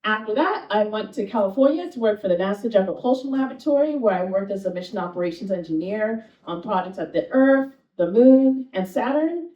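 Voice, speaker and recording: A woman from New Jersey introducing herself on a panel in a clear, composed way. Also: medium pitch, expressive, fairly quick, few pauses, warm tone. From New Jersey, United States. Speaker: panelist, flight director. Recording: echoey room, distant dull mic.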